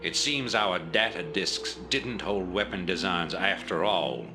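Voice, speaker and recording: An actor playing a calculating, commanding rebel leader in a video game, speaking in a measured, authoritative, oratorical way. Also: male voice, low-pitched, lively intonation, over music. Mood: grave. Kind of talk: video game cutscene acting.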